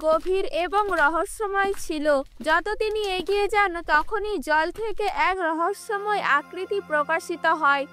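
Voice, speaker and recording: A woman's voice: extremely high pitch, unhurried, fairly bright tone. A woman reading scripted fairy-tale narration for a cartoon as the storyteller.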